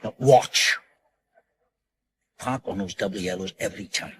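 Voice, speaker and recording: An actor playing a bossy, edgy British crime crew leader in a radio drama, giving clipped, commanding instructions. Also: male voice, fairly low pitch, measured pace, fairly bright tone. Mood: firm, irritated.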